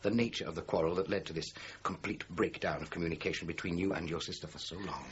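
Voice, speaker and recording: An actor playing a shrewd, persistent police detective in a radio crime drama, measured, formal and courteously probing. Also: male voice, low voice, close to an everyday voice. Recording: muffled, noisy radio drama recording.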